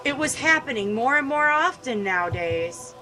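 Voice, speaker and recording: A woman's voice: high-pitched, lively intonation, normal speed, bright, forceful tone, slight background noise, low-quality recording. A woman hosting a gaming video, reading a visual novel aloud and commenting with emotional, indignant feeling.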